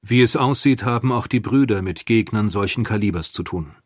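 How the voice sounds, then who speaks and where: A man narrating a historical radio documentary in a sober, clear manner. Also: lowish voice, a little fast, no room sound, studio quality.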